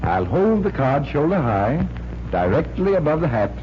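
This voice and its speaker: Smooth confident american magician detective, old 40s radio show, voice swings up and down, muffled recording. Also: male voice, a little high, very animated, moderate pace, slight background noise. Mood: assured, instructive. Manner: assured, instructive. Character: suave stage magician detective, assured, patiently explaining man. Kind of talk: 1940s radio drama acting.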